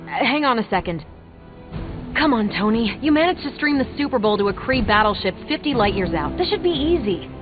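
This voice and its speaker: An actress playing a brisk, direct woman superhero in a video game. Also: fairly high pitch, sing-song, dull audio, music in the background. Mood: impatient, coaxing. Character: brisk woman superhero teammate on a comms call. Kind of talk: scripted video game voice acting.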